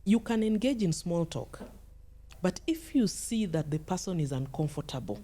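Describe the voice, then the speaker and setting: A middle-aged woman with a fairly low, mellow and relaxed voice. She talks as a podcast guest giving advice, conversational yet emphatic, often repeating a phrase to drive it in. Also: moderate pace.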